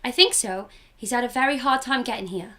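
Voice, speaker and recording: A woman voicing a loud, excited little girl in a student radio drama. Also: a little high, fairly quick. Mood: eager. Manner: eager, breathless, giggly.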